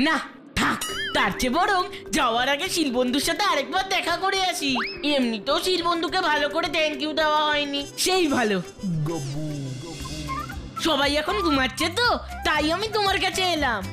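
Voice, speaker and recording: A woman's voice: high voice, animated, normal speed. A woman voicing an eager, playful, adventurous young boy in a cartoon. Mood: thoughtful, friendly. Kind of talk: cartoon voice acting. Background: music.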